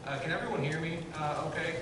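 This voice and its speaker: A loud, chatty man lectures to a crowd, his voice sitting a bit high. He is heard from far off in a very echoey room, with steady noise underneath. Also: fast. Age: 30s. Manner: loud, chatty, many fillers and restarts. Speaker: guest lecturer, historian. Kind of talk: public history lecture. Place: large echoey room, in front of an audience.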